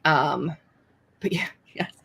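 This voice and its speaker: A woman's voice: low-pitched, slow, vocal fry, bright, forceful tone, slightly rough. A young adult woman, an author hosting a casual live stream, chatting in a self-deprecating way with lots of fillers.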